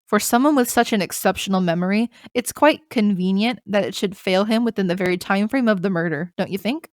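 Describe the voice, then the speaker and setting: A woman voicing a composed female rabbit prosecutor who speaks in a cool, measured, condescending way. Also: medium pitch, dry recording, lightly acted. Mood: smug.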